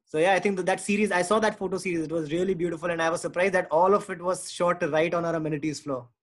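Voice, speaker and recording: An Indian-accented man with a noticeably high voice hosts a podcast interview. He talks in a chatty, informal way, as in an easy conversation between acquaintances. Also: steady tone, fast.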